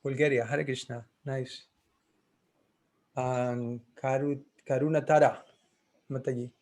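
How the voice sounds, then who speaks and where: A man teaching a class on a video call, taking roll in a friendly, halting way, thinking aloud as he goes. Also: mid-range voice, flat delivery, a little mellow.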